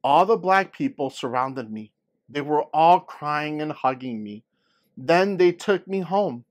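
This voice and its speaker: Storybook narrator: earnest young girl, read by a man, dramatic delivery, raised pitch. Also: animated, a little slow. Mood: earnest, suspenseful. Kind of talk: scripted picture-book read-aloud.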